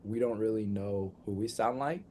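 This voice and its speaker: Eager young guy from a band, low voice, bit of faint noise behind. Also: dark tone. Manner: upbeat, eager, talks in quick bursts. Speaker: band member guest. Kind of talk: casual interview chat.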